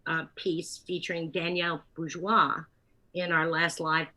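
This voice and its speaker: Lower-pitched woman in a halting interview. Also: flat delivery, sharp tone, a little hiss or hum. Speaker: interviewee, theater producer and playwright. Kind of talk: conversational interview, partly reading from notes.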